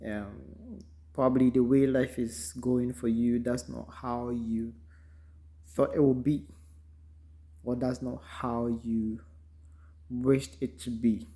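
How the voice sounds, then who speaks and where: A man from Ghana with a Ghanaian accent, giving an unhurried, reflective motivational talk full of ums, recorded in a car. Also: medium pitch, fairly even intonation, dark tone. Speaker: vlogger. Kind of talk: casual motivational monologue.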